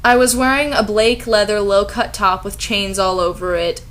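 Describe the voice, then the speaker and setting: A woman's voice: mid-range voice, steady tone, normal speed, a bit bright, lightly acted. A woman voicing an angry, melodramatic teenage goth girl who narrates her own story in an overwrought, self-important way.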